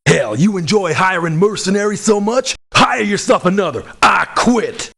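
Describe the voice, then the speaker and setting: A man playing a gruff, blunt, hot-tempered mercenary for hire in a video game, with a gravelly, husky voice. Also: expressive, normal speed, a bit bright, exaggerated voice. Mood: angry, loud. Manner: blunt, cranky, folksy swearing. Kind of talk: video game character voice lines. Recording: low sample rate game audio clips.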